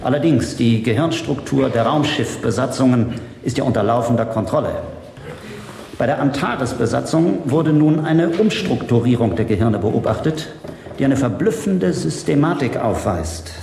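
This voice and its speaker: An actor playing a grave official in a science fiction radio drama, speaking formally and deliberately about a threat to humanity. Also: male voice, medium pitch, lively intonation, creaky, a little mellow. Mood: grave, explanatory. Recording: echoey hall, long reverb, noisy background.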